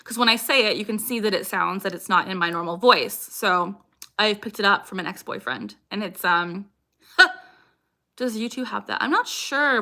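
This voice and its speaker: A woman's voice: mid-range voice, sharp tone. A young woman chatting casually on a livestream and answering viewers' questions. She is upbeat and laughs often, and her sentences are broken by sudden vocal tics.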